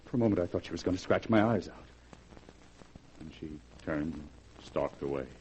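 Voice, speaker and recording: An actor playing a haunted, bitter husband in a grave, dramatic 1940s radio horror style. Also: male voice, low voice, expressive, normal speed, darkish tone, highs cut off. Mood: haunted, brooding. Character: haunted, bitter husband, desperate to escape his wife. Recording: hissy vintage 1940s radio recording.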